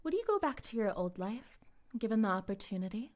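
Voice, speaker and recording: A woman playing a smug, possessive female cult leader in an intimate roleplay monologue, speaking deliberately and teasingly, often slipping toward a breathy whisper. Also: medium pitch, very animated, a little mellow, close to an everyday voice. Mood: smug, coaxing.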